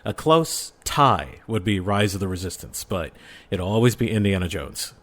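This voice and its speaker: Man, middle-aged, lowish pitch, casual podcast storyteller, enthusiastic delivery. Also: lively intonation, moderate pace, fairly bright tone. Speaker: podcast guest, audio drama creator. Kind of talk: casual chat, personal storytelling.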